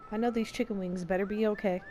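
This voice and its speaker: A woman's voice: mid-range voice, fairly even intonation, quick pace, warm tone, faint music behind. A young woman game streamer giving casual, playful, reactive live commentary.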